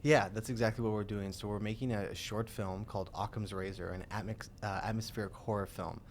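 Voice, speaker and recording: A man's voice: fairly low pitch, steady tone, slight background noise. A young man, a recent graduate and film director, answering interview questions eagerly and correcting himself as he goes. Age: young adult. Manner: quick, eager, self-correcting. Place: radio studio.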